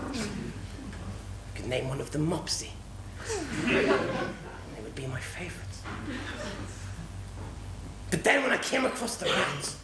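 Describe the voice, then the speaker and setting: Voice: medium pitch, creaky. An actor playing a washed-up, drunken old cat in a stage pantomime, rambling and melodramatic. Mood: maudlin. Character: washed-up, drunken, self-pitying old alley cat, former rat catcher. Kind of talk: stage pantomime comedy acting. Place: theatre stage before a live audience. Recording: echoey theatre stage recording with audience.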